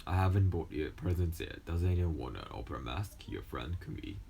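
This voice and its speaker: A young man whose first language is Japanese, speaking English with a mostly American accent and a slight Japanese trace, reading textbook lessons aloud with halting restarts. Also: low-pitched, animated, vocal fry, mellow, breathy edge. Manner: halting, with restarts and self-corrections. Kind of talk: casual talk, then reading school textbook passages aloud.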